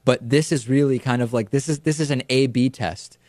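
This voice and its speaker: A man's voice: mid-range voice, brisk, darkish tone, no echo. A young man born in Argentina whose first language is Spanish, hosting a news commentary show in a studio with an emphatic, explaining manner. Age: young adult. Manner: emphatic, explaining with hand gestures. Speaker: talk show host. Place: TV studio set, indoors. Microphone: studio mic on a stand in front of him.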